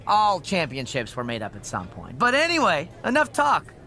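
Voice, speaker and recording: A woman's voice: sits low, huge pitch swings, normal speed, sharp tone, full character voice. A woman playing a breezy, smug backstage assistant in a wrestling game, with a chipper, dismissive, needling manner. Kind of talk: acted video game cutscene dialogue.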